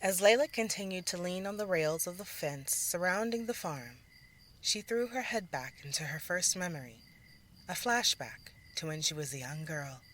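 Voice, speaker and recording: A woman storyteller reading scripted fiction narration in a measured, theatrical manner. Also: fairly low pitch, a bit bright, a little hiss or hum.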